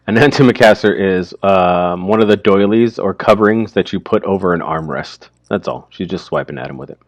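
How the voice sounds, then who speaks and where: A man's voice: low voice, brisk, no room sound, lo-fi sound. A man giving casual, informal commentary, explaining things plainly like a teacher.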